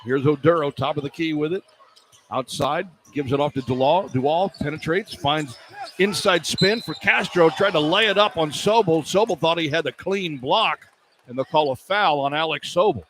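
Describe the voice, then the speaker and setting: A man does live radio play-by-play of a basketball game in a fairly high-pitched voice. He describes the action in clipped phrases and lifts into excited calls. Arena noise runs behind him. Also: normal speed. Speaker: play-by-play sports announcer. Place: indoor college basketball arena.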